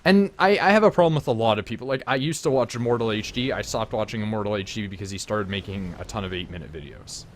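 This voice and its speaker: Man, Canadian accent, slightly low voice, streamer, casual chat, opinionated rants. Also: quick pace, few pauses, slight background noise. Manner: opinionated, animated ranting with lots of fillers. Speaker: streamer hosting a live gameplay stream. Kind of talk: casual chat while playing.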